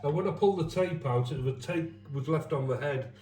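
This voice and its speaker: A man's voice: a little high, brisk, a little mellow. English man, echoey and off-mic. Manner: matter-of-fact, colloquial explaining. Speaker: restorer of vintage arcade machines presenting the work. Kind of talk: casual hands-on demonstration. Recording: roomy, distant mic with clear background noise.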